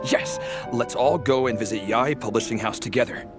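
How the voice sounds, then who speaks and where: An actor playing a middle-aged father in a video game, firm but indulgent, with measured, gentle authority. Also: mid-range voice, lightly acted. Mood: firm, kindly. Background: loud background music.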